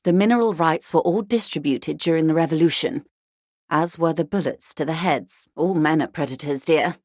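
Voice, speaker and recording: A woman voicing a poised upper-class older woman in a video game, measured and articulate, with dryly ironic, condescending politeness. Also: low voice, a little mellow, dry recording, studio quality. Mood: dry, knowing. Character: poised, wry upper-class older woman, unrepentant ultra-liberal negotiator. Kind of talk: video game character dialogue.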